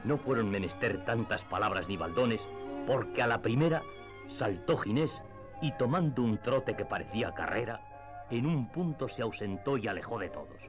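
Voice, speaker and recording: A man narrating a classic novel in a radio drama, with measured literary storytelling. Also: fairly low pitch, animated, close to an everyday voice. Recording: slightly dull, band-limited audio. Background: faint background music.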